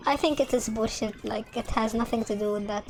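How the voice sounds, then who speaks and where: Young woman, native Arabic speaker, accented English, slightly high voice, casual halting gamer chat. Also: steady tone, noisy background. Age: young adult. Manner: halting, many restarts and fillers. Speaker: gaming channel host. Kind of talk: casual chat over gameplay.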